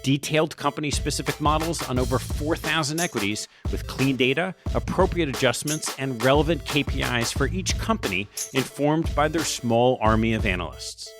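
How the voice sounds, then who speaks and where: A man hosting an investing podcast, reading his intro and sponsor messages at an even, deliberate pace. Music runs clearly underneath his voice. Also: mid-range voice. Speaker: podcast host. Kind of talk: scripted intro and ad reads, then interview questions.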